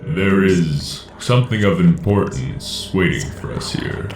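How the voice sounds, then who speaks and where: An extremely deep, gravelly male voice of an ancient machine intelligence. It speaks in cold, formal commands with dramatic swings in pitch, in a science-fiction audio drama. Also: expressive. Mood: cold, commanding. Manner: formal, measured, imperious. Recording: echoing voice with clear background noise.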